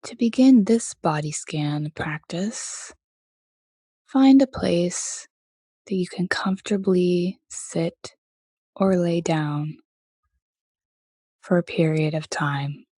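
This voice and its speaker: A woman leading a guided meditation as teacher, speaking gently in a soft voice with many pauses. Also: fairly low pitch, breathy edge, no echo. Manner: gentle, unhurried, pausing often between phrases.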